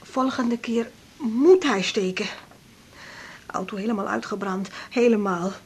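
Breathy, halting Turkish immigrant wife. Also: female voice, mid-range voice. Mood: fearful, distressed. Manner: halting broken Dutch, short fragments, repeats words. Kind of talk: radio drama acting.

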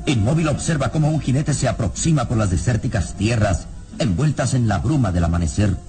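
A man's voice: medium pitch, expressive, brisk. Theatrical male radio serial narrator over music. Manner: theatrical, suspenseful.

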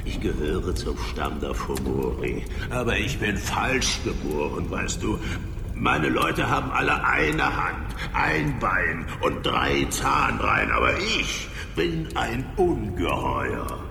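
An actor in a radio play voicing a huge, ugly, cunning giant, gravelly and breathy, self-pitying and cruel, swinging between whining whispers and shrieks. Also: male voice, on the low side, big pitch swings, slow pace, background noise, exaggerated voice.

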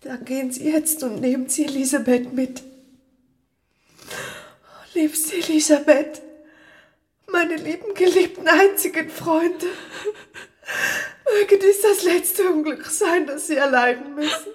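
A condemned young servant woman in a radio play, her voice high and full of feeling. She is pious, frightened and devoted, and she pleads from the heart. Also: takes it slowly, long pauses. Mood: tearful, tender. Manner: emotional, pleading. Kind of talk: German radio drama acting.

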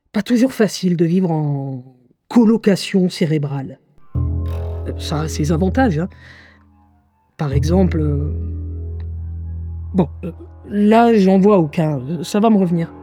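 A woman's voice: lowish voice, takes it slowly, long pauses, warm tone, faint music behind. An actress playing a weary, sardonic woman who narrates her diary in a confiding way in a French radio drama. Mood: weary, wry. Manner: wry, exasperated, confiding.

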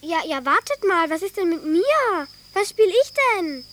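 A woman's voice: very high-pitched, very animated, unhurried, fairly bright tone, exaggerated voice. A female performer in a German radio play voicing a sassy, insistent young girl joining a make-believe game.

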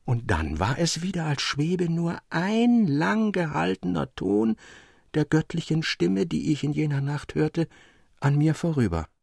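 A man narrates classic literature with a husky, creaky voice at a medium pitch. His storytelling is expressive and measured, in the manner of a radio reading, and the sound is very dry. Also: dry recording. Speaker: audiobook reader. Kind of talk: literary scripted narration for German public radio.

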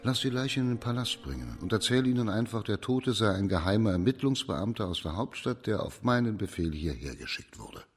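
An actor playing a shrewd, authoritative judge in a German radio crime drama, speaking in a measured, formal way. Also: male voice, lowish voice, very animated, music in the background. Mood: matter-of-fact. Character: authoritative, shrewd male judge in imperial China.

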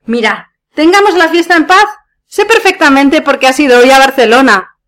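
A woman's voice: high-pitched, normal speed, fairly bright tone. A woman from Spain acting a scornful, sardonic wife in a radio play farce, with a cutting manner and a Spanish accent. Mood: accusing.

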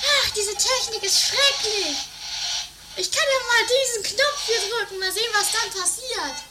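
A woman's voice: very high voice, measured pace. A woman playing a bossy, childish, bickering sister who taunts everyone in a comedy radio play. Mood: bossy, impatient. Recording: 1980s home-made radio play over loud music.

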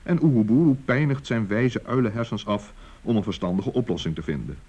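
A man's voice: fairly low pitch, fairly quick, mellow, husky. A man narrating a children's radio play as a storyteller, reading from a script. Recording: dull old radio recording.

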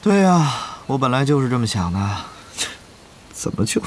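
Male actor for guild boss: affable schemer, lively, exclamatory, eager to please, audio drama. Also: medium pitch, normal speed, a little hiss or hum, close to an everyday voice. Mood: rueful. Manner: effusive, chummy, exclamatory.

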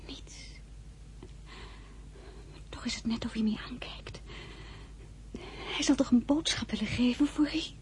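A woman playing a spirited, proud and headstrong young merchant's daughter in a radio drama, with formal, expressive delivery. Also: a little high, a little slow, pauses often, in a whisper, lightly acted. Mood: pensive, uneasy. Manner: crisp formal refusals, indignant and expressive. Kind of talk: radio drama acting with first-person narration.

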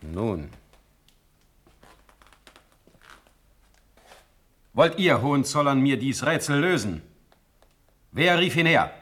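An actor playing a stern, proud Prussian prince-elector in a classical radio drama, speaking in a measured, declamatory, commanding manner. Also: male voice, mid-range voice, very animated, a bit bright. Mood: imperious, sharp. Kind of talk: classical German verse radio drama acting.

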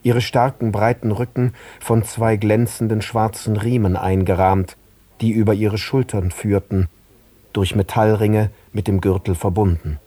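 An actor narrating a literary radio play as a sober, measured observer. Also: male voice, fairly low pitch, fairly even intonation, warm tone, husky, no echo. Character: male narrator, sober observer recalling scenes in detail.

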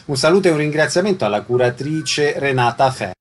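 A man's voice: mid-range voice, expressive, quick pace. A man hosting a radio arts programme, announcing events and putting questions to guests. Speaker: radio host.

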